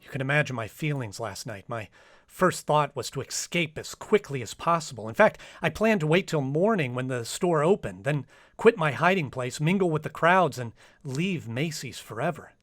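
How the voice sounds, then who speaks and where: A man's voice: fairly high pitch, animated, moderate pace, a bit bright, dry recording, clean studio sound. A man playing an earnest, anxious trapped diarist in an old-time radio drama, speaking uneasily. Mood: uneasy, confiding. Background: music.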